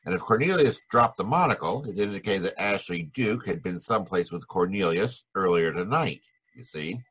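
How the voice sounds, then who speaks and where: An actor playing a hardboiled, wisecracking American private detective in a 1940s-style radio noir. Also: male voice, low-pitched, expressive, normal speed, a bit bright, husky, heavily acted, poor audio. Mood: explaining.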